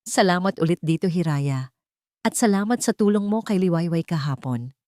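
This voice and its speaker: A woman's voice: on the low side, expressive, moderate pace, darkish tone, no room sound, studio quality, lightly acted. A woman with a Filipino accent voicing a serious, protective wealthy husband who speaks directly, in a narrated story. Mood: curious. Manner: direct, questioning.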